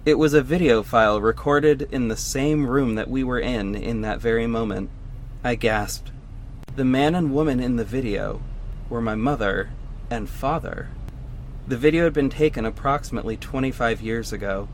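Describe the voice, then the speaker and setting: A man's voice: medium pitch, normal speed, a little hiss or hum, natural voice, poor audio. A man playing a vigilante hero, narrating his own story in scripted first person for an audio drama. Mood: intrigued, then moved, subdued.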